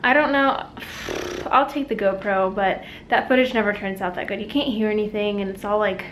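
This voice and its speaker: A woman's voice: medium pitch, fairly even intonation, moderate pace, slight background noise, poor audio. A young woman in her twenties, chatting casually to a handheld camera at home and in her car, with lots of "like" fillers. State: out of breath and overheated after a run. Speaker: vlogger. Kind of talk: casual daily vlog chat. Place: small home office, kitchen, then parked car. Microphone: handheld camera at arm's length.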